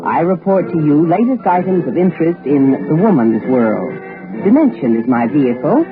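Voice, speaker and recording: A thin vintage radio recording with clear music behind features an American woman presenter. She reads a scripted promo with animated swings in pitch. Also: phone-quality sound.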